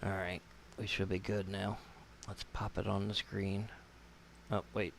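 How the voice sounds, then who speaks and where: A man's voice: sits low, monotone, a little slow, vocal fry, slight background noise. A man hosting a live stream with casual commentary, informally muttering his way through things aloud.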